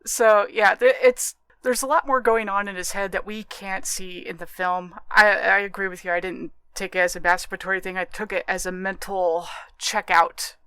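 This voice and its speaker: A woman's voice: mid-range voice, steady tone, moderate pace, few pauses, pushed and bright, smooth, no echo. A woman co-hosting a podcast, debating books and films casually in an assertive, matter-of-fact way. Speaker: podcast co-host. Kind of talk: casual book and film discussion.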